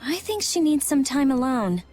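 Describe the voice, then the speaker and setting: A woman's voice: high voice, big pitch swings, normal speed, a little mellow, faint music behind. A woman playing a blunt, impatient teenage girl mage in a video game, with a grumbling manner. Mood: matter-of-fact.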